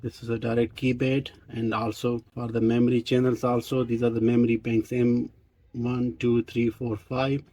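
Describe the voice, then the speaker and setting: Husky, mellow man demonstrating gear. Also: medium pitch, little pitch movement, no room sound. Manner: halting, explanatory, non-native phrasing. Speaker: radio reviewer and demonstrator. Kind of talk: casual product demonstration.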